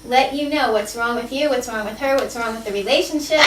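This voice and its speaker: Higher-voiced woman leading a workshop. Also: brisk. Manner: candid, playful, laughs easily. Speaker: workshop facilitator. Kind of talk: leading a group role-play exercise. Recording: roomy, distant, noisy live room.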